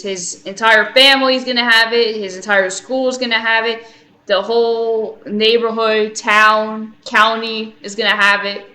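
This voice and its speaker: A woman's voice: fairly high pitch, pushed and bright, rough recording. A woman hosting a reaction video with casual, joking commentary and playful asides.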